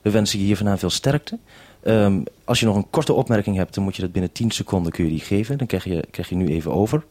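A man presenting an unscripted live radio interview in a measured, probing way. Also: sits low, darkish tone.

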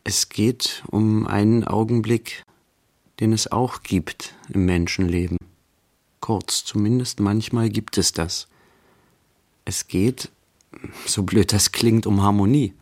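A man playing a wry, measured storyteller, narrating a radio play in rhythmic, deliberate phrases. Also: lowish voice, steady tone. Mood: measured, reflective. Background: quiet guitar music.

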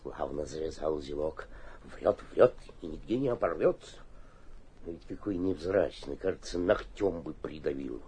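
An actor playing an obsequious, cunning provincial mayor in a theatrical radio play, flattering and slyly suspicious, with a husky voice. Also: male voice, very deep voice, normal speed, exaggerated voice. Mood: suspicious muttering. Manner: fawning flattery with muttered suspicious asides. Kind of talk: theatrical radio drama acting.

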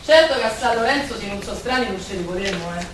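A noisy, far-off and very reverberant recording of an actress as a working-class Roman woman. She is high-pitched, blunt and gossipy, tossing out mocking remarks in thick Roman dialect in a staged radio drama. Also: animated, quick pace, lightly acted. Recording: distant, echoey room, noisy live recording.

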